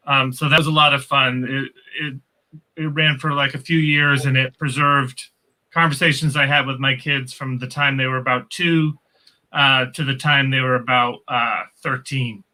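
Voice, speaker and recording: A middle-aged man, a writer, explaining his work in a measured, casual interview chat. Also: mid-range voice, little pitch movement, pushed and bright, poor audio. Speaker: writer, podcast guest.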